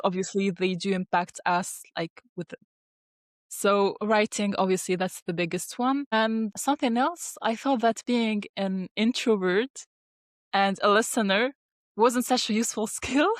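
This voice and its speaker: A woman's voice: medium pitch, no room sound, studio quality. A young woman from Tunisia whose first language is Arabic, chatting as an interview guest with frequent laughs and fillers. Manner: chatty, laughs often, many fillers and restarts. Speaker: guest, dental student and ghostwriter. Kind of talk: casual interview talk.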